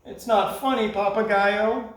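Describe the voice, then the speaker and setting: A frightened chorus of little storybook night creatures , as a man puts them on, whining and pleading in a high, wavering voice. The sound bounces around an echoey room. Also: expressive, a little slow. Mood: pouting. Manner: whimpering, pleading. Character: timid, whiny chorus of jungle night creatures.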